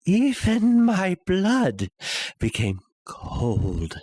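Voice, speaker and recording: A breathy, husky madman confesses in a gothic horror tale, acted out by a male narrator. He speaks extremely slowly, with long theatrical pauses, and his pitch swings widely as he insists on his sanity. The sound is close and dry. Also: huge pitch swings, mellow, no room sound, full character voice. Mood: brooding dread. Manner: halting, theatrical, with long pauses and sudden swells. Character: obsessed madman confessing a murder, insisting he is sane. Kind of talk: dramatic reading of a classic horror story.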